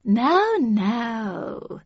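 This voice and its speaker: An imperious older lady, acted out by a woman reader, speaking in a raised, commanding voice. She is brisk, impatient and formally polite, a storybook grande dame on a dry recording. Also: fairly high pitch, big pitch swings, no room sound, highs cut off, voice pushed up. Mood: forced politeness.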